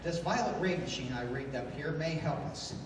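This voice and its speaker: A man acting a commanding, analytical adventure hero who leads his crew, in the deliberate, authoritative style of 1930s radio drama. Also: on the high side, natural voice. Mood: grave, deliberate. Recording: echoey hall from far off, noisy.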